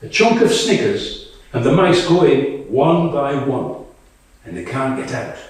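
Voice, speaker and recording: A man performs a weary, blunt woman soldier in a stage monologue. The delivery is unhurried, wry and conversational, with some swearing. It is heard from a distance in a very echoey room. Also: mid-range voice, sing-song, darkish tone. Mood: disgusted, wry. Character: Tonya, weary, blunt female Ukrainian soldier. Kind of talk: dramatic monologue read to a live audience.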